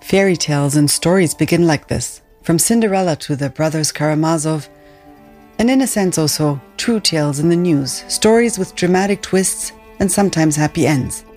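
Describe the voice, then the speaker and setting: A woman hosting a podcast, telling a story in calm, scripted narration. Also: low-pitched, normal speed, soft background music. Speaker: podcast host.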